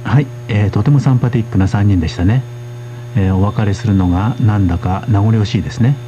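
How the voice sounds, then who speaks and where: Brisk dark-voiced male radio host. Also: lowish voice, muffled recording, a little hiss or hum. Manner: polite, steady, interprets guests. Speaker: host of a radio language course. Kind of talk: radio lesson presenting.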